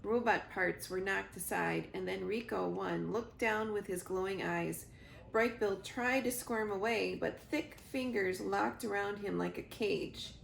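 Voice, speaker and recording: A woman reading a children's storybook aloud with expressive narration, recorded in a roomy living room. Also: mid-range voice, measured pace, vocal fry. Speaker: reader, school librarian or teacher. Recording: roomy echo, faint background noise.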